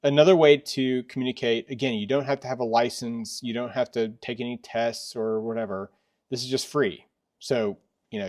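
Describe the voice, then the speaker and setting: A man's voice: mid-range voice, darkish tone. A middle-aged man hosting a casual product review in a workshop, conversational and often restating his points. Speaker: host of a product review channel. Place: indoors in a workshop.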